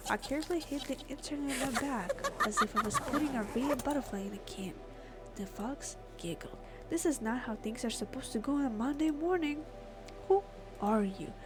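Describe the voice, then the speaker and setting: A woman doing a let's play, reading game text aloud and adding expressive, informal reactions. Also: high-pitched. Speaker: gamer, let's player. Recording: clear game music behind the voice. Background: game music.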